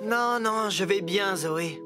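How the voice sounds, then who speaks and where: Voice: mid-range voice, takes it slowly, lightly acted. An actor plays a glum young man learning the building trade in a children's cartoon. He sounds unsure of himself and hesitant, with music underneath. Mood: downcast. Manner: downcast, hesitant. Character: Léo, glum self-doubting young male apprentice builder. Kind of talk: animated children's cartoon dub.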